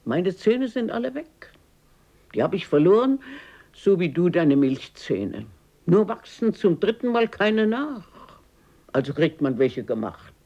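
An actress in a radio play playing a plucky, brusque but warm-hearted old grandmother, raspy and lively, muttering and exclaiming. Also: on the low side, unhurried, lo-fi sound, heavily acted. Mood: matter-of-fact. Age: older.

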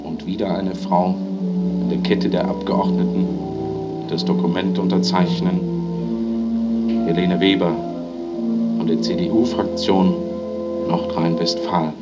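A man giving solemn, measured radio commentary on a ceremony in an old archive recording, as a reporter. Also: deep voice, little pitch movement, dull audio, over loud music.

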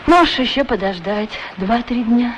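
Woman as high-voiced coaxing talking golden shoes. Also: animated, normal speed, lightly acted. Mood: soothing, chiding. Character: talking golden shoes, female, soothing and gently chiding storyteller. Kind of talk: radio play acting. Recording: dull, noisy 1960s radio recording.

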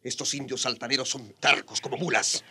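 An actor in a radio drama playing a sneering, sadistic Spanish soldier with a raspy voice. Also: male voice, mid-range voice, steady tone, fairly quick, bright, forceful tone. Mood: scornful. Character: sneering sadistic male Spanish soldier who gloats cruelly.

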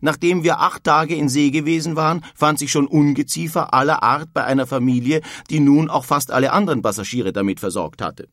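An actor playing a wryly bitter emigrant from past centuries, reading out letters expressively in a measured way for a radio feature. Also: male voice, on the high side, a bit bright, no echo, clean studio sound. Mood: grim, emphatic.

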